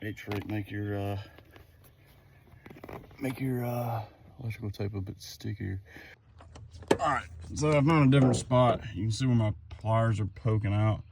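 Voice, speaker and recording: A man chatting casually through a hands-on how-to vlog in a folksy, easygoing way, thinking aloud as he works. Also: medium pitch. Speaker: vlogger doing a DIY car project. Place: outdoors at a vehicle, some wind. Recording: noisy outdoor recording with ambience.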